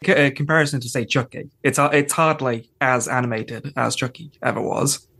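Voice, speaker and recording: A man's voice: medium pitch, fairly even intonation, brisk, creaky, sharp tone. A man chatting informally and agreeably as a guest on a podcast. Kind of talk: casual chat.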